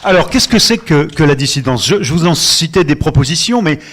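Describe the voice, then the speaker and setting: Modérateur hésitant d'un débat littéraire. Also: male voice, fairly high pitch, animated, brisk, fairly bright tone. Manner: hesitant, many fillers and restarts. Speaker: moderator. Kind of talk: moderating a literary panel.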